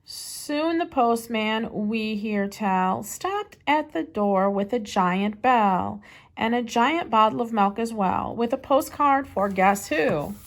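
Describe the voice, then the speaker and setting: Female children's story reader, higher-pitched, expressive and deliberate, like a teacher reading aloud. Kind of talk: children's picture-book read-aloud.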